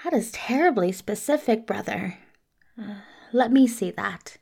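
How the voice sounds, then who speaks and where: A woman's voice: medium pitch, animated, measured pace, gravelly, a little mellow, husky, exaggerated voice. A woman playing a weary, bookish prince in a fan audio drama, speaking in a prim, wordy and testy way. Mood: testy, baffled. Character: weary, bookish, put-upon prince who wants his rest.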